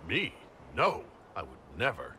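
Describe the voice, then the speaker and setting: Calm fantasy game leader, very deep, lively intonation, noisy ambience behind. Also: male voice, a little slow, exaggerated voice. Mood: teasing. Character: calm, wry male military-religious leader of an inquisition. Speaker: player character in a fantasy video game. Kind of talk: cinematic game dialogue acting. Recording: background noise and ambience.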